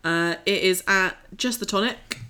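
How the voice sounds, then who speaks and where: A woman's voice: mid-range voice, unhurried, bright, forceful tone, smooth. A woman co-hosting a podcast, chatting informally and reading out listings in a casual way. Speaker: podcast co-host.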